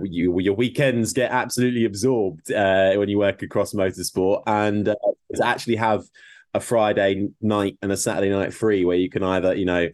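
A man's voice: sits low, darkish tone, no room sound. Male podcast guest, casual and rambling, dry close sound. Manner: chatty, many fillers and restarts. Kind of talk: casual chat.

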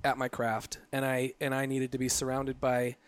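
A man's voice: mid-range voice, monotone, normal speed. Reflective man in his late thirties. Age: 30s. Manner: reflective, rambling with frequent fillers and restarts. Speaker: guest, photographer. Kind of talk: casual interview conversation.

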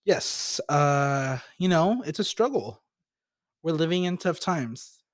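A young man co-hosting a podcast in casual chat, soft-spoken and hesitant, with many ums. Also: on the high side, animated, slow, no room sound, clean studio sound. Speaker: podcast co-host.

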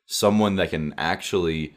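Very low-voiced young man, excitable. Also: normal speed. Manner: excitable, filler-heavy. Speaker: podcast co-host. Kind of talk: casual podcast chat.

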